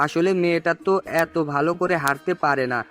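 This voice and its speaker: A man's voice: on the high side, fairly even intonation, fairly quick, a bit bright, faint music behind, close to an everyday voice. A man playing a kindly, welcoming middle-aged father in an audio story drama. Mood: attentive.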